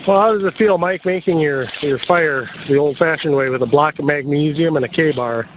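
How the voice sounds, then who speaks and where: A man's voice: a little high, normal speed. An American man giving casual, playful video commentary as an amateur radio operator outdoors at a campsite. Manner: joking, playful. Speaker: amateur radio operator filming a camping trip. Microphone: handheld video camera. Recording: noisy outdoor camcorder audio.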